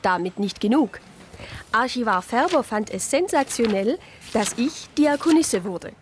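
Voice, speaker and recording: Wry, high-voiced deaconess narrator. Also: female voice, expressive, measured pace, a little hiss or hum. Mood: wry narrating. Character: Sister Claudia, wry, spirited deaconess who tells the story. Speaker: narrator and lead character. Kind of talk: radio play narration and dialogue.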